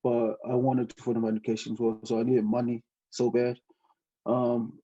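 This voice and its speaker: A young man from Tonga tells his story in English, his first language being Tongan. He talks haltingly, with plenty of fillers and laughs. His voice is very dark and mellow, and the recording is dull and lo-fi. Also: mid-range voice. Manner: hesitant, many fillers, laughs often. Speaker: podcast guest, student pilot. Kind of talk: casual interview storytelling.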